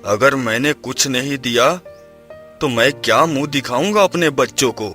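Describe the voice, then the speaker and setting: A man's voice: mid-range voice, normal speed, bright, forceful tone, natural voice. A man playing a humble, weary and kind poor farmer in a moral storybook tale, meek and earnest. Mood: gentle earnest. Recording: music behind the voice.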